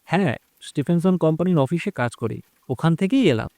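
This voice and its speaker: A man as a soft-spoken, earnest young man. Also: on the high side, animated, a little fast, no echo, clean studio sound. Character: calm, earnest young working man devoted to his mother.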